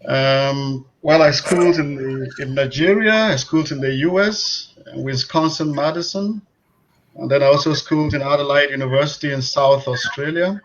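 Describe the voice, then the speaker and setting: A man, a public health professor, introducing himself on a panel in a measured way with frequent hesitations. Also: a little high, dull audio. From Cameroon.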